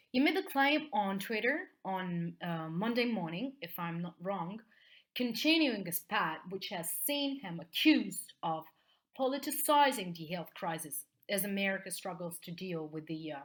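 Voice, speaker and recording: Woman, Italian accent, mid-pitched voice, teacher's explanatory delivery, frequent checking tags. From Italy. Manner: ends phrases with checking tags like okay and mm. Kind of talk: explanatory video lesson.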